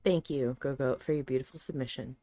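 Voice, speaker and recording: A woman's voice: very deep voice, fairly even intonation, brisk, crowd noise. A woman hosting a podcast, chatting casually and analytically about a story. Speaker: podcast host.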